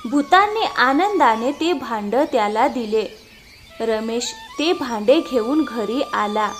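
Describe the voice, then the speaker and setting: A woman's voice: on the high side, expressive, slow pace, a little hiss or hum. A woman narrating a scripted cartoon story.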